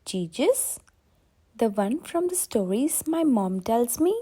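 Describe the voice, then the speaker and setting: A woman plays an eager, wondering little boy in a children's storybook. The voice is high and lively, swinging up and down with earnest curiosity. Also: takes it slowly, slightly rough, full character voice. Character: curious, earnest, kind five-year-old boy. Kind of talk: children's bedtime story dialogue.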